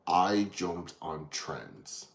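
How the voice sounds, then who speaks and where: A man with a low voice and an Irish accent talks casually to his livestream viewers. He drifts between thoughts in a rambling, distracted way, breaking off to address people in the chat. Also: sing-song, drawn-out words, gravelly. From Ireland, from Dublin. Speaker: livestream host.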